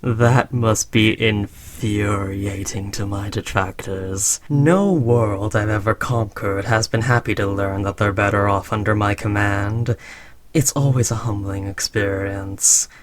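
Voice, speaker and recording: A man's voice: fairly low pitch, close to an everyday voice. A man playing a smug, condescending yet indulgent alien overlord who conquered Earth, speaking to a servant in an imperious, teasing way in a roleplay audio drama. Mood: proud, condescending. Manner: unhurried, imperious, teasingly patronizing.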